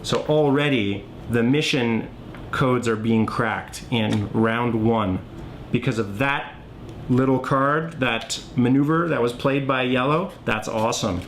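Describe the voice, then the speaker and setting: A man giving a casual, unscripted board game tutorial, thinking aloud as he demonstrates the moves. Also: slow. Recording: clearly noisy, low rumble.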